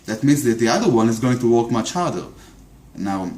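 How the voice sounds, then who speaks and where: A man's voice: mid-range voice, very animated, fairly quick, a little mellow. A man with an Israeli accent, talking through a political argument off the cuff, often stumbling over repeated words and fillers. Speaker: video commentator. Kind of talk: unscripted political monologue. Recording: echoey room.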